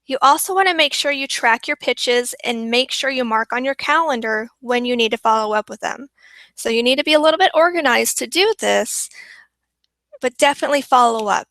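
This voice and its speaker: A woman's voice: on the high side, a bit bright, no echo. A woman presenting a marketing webinar, upbeat and repeating points for emphasis, her voice worn and going. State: losing her voice.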